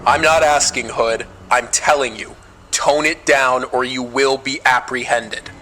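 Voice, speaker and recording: A man's voice: mid-range voice, steady tone, a little slow, sharp tone, slight background noise, low-quality recording. A man voicing a ruthless, commanding middle-aged woman who runs a government agency in a motion comic, curt and threatening. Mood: menacing.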